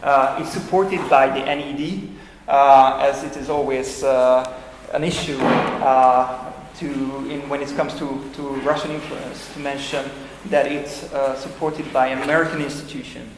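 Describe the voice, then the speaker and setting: A man of middle pitch opens a panel event in a formal but hesitant way, filling gaps with frequent filler sounds. His voice carries through a roomy hall with a long reverb tail and a faint hum of noise. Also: steady tone, measured pace. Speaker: moderator, think-tank director. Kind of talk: opening remarks at a panel event.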